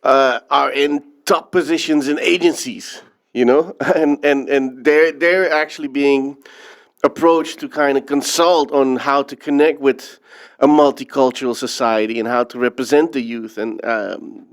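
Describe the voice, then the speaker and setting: A man from the Netherlands speaks as an interview guest, reflective and earnest as he tells his story. His voice is smooth and resonant, sitting at an ordinary middle pitch for a man. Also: steady tone, a little slow. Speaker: filmmaker guest. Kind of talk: interview conversation.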